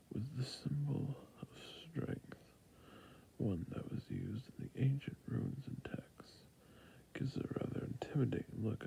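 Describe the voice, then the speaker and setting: A man playing a softly whispering fantasy shopkeeper. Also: low-quality recording. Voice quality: breathy soft near-whisper with creaky low ends. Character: friendly patient fantasy shopkeeper selling adventuring gear. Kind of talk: ASMR roleplay, whispering and soft speech.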